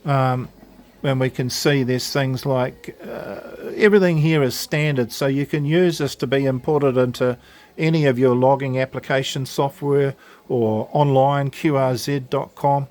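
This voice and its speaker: A man's voice: mid-range voice, steady tone, unhurried, darkish tone. A man presents an unscripted gadget demonstration in a mid-range voice. He explains things casually and a little hesitantly, going one step at a time. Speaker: presenter of an amateur radio gadget demo. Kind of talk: informal product demonstration, unscripted tutorial.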